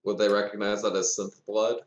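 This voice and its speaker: A man's voice: fairly low pitch, level tone, a little fast. A man chatting casually as a player in a tabletop game, on a dull, roomy microphone. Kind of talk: casual gaming chat.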